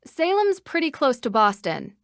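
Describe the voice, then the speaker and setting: A woman's voice: high voice, sing-song, normal speed, dry recording, studio quality. A woman playing a polite, inquisitive young female detective in a video game. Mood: friendly.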